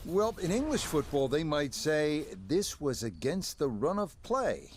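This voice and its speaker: A man giving calm, measured play-by-play commentary on golf in a video game. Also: a little high, slight background noise. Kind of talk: sports commentary.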